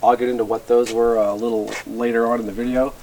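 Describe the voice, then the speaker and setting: Middle-aged man, halting talk, noisy background. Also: medium pitch, little pitch movement, darkish tone. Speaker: host of a radio gear review channel. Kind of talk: casual product test vlog. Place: outdoors by a garage door, later a garage workshop. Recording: background ambient noise.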